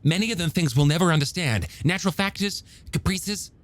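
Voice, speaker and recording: A man's voice: a little high, animated, a little fast, pitched above the performer's own voice, close to an everyday voice. A man playing an eager, bookish young male scientist in an audiobook, stammering a little as he explains things emphatically and testily. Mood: animated, explaining. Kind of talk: audiobook character dialogue.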